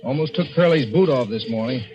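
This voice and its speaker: A man's voice: mid-range voice, expressive, a little fast, warm tone, dull audio, slight background noise, lightly acted. A man playing a plain-spoken, watchful pump man on a boat, casual and matter-of-fact, in old-time radio drama. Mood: casual caution.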